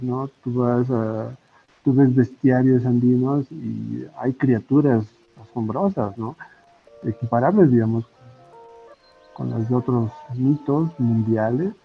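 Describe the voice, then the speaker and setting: A Bolivian man speaks slowly and haltingly at a middling pitch, chatting as an interview guest and often tagging his phrases with a question. The recording is dull and lo-fi, with music playing behind him. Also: gravelly. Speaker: podcast guest, comic artist and illustrator. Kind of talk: casual podcast interview. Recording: muffled lo-fi audio with music. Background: background music.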